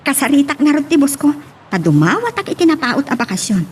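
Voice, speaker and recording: A woman's voice: high voice, sing-song, fast. A woman playing an affectionate young bride and granddaughter in a radio drama, with a Filipino accent. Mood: earnest. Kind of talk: Ilocano radio drama acting. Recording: noticeable background noise.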